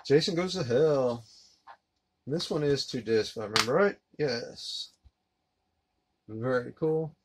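A man in his thirties giving a relaxed, rambling unboxing commentary while handling discs at his desk. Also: mid-range voice, slow pace, long pauses. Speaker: collector unboxing a disc set. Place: collectibles room indoors. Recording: noisy home recording.

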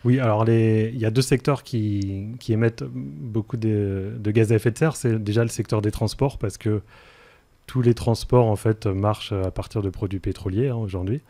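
Male radio guest, forties, mellow and dry-sounding, explanatory but hesitant delivery with frequent fillers. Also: lowish voice, fairly even intonation, moderate pace, no echo. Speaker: interview guest, energy transition project officer. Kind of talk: explanatory radio interview answers. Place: radio studio, indoors. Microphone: close studio microphone.

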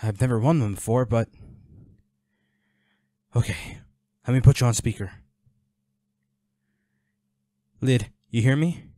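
A man's voice: medium pitch, normal speed, dark tone, no echo, studio quality, close to an everyday voice. A man playing a protective, worried boyfriend in an intimate audio roleplay, speaking in a pleading, soothing, urgent way. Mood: tense, focused. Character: protective, worried boyfriend tending his injured werewolf partner.

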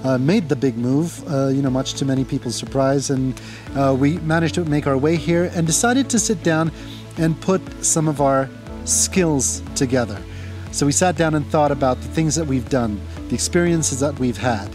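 A man's voice: medium pitch, normal speed, darkish tone. A man in his thirties, born in Seychelles and raised in Kenya, co-founder of a company, talking easily to camera outdoors in a garden. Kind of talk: casual presentation to camera. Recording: music playing behind.

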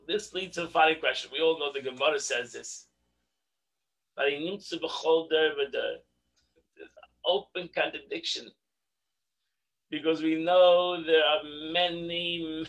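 A middle-aged man leads an online religious class from a home study, speaking into a webcam. His voice is bright and fairly high, and he repeats words as he presses a point. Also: low-quality recording. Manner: animated, repeats words when stressing a point. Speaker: rabbi teaching a daily class. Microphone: video call webcam.

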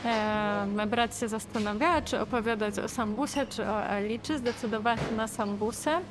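A woman explaining informally and hesitantly, pausing often between phrases. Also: a little high, fairly even intonation, music in the background.

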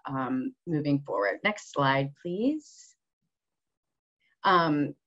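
Woman lawyer giving a talk on a video call, low voice, kinda dull audio, lots of ums. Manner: warm, earnest, with frequent ums and restarts. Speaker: conference presenter, human rights lawyer. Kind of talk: online conference presentation. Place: office room at home base, rain on the roof.

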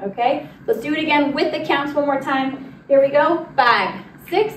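A woman's voice: high voice, moderate pace. A young woman giving step-by-step line dance instruction, counting out the moves as she demonstrates them. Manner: directive, demonstrating while moving. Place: large indoor dance studio with wooden floor. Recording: roomy, distant mic with noise.

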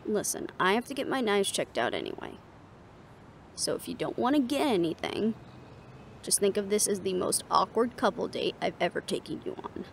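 A woman's voice: mid-range voice, very animated, moderate pace, creaky, a little hiss or hum. A woman playing a confident, teasing monster hunter in an audio roleplay, with a wry, coaxing manner. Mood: wry, affectionate. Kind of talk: intimate scripted audio drama acting.

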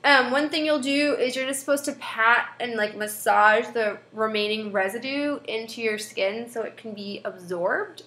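Young female vlogger, congested head-cold voice, bright and a bit high, echoey small room. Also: moderate pace, few pauses. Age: young adult. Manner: chatty, friendly, with frequent fillers. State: stuffed-up nose from a head cold. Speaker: beauty vlogger. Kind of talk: casual product review. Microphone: webcam at desk distance.

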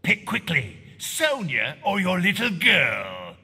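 A man playing a cackling, unhinged clown villain with a raspy, taunting voice. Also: sits high, wildly swooping pitch, takes it slowly, sharp tone, long reverb tail, heavily acted. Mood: gleeful menace. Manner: taunting, theatrical, giggling.

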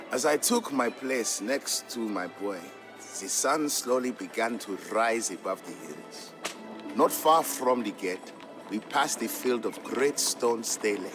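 A man giving a scripted, measured and expressive story narration for a historical game. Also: mid-range voice.

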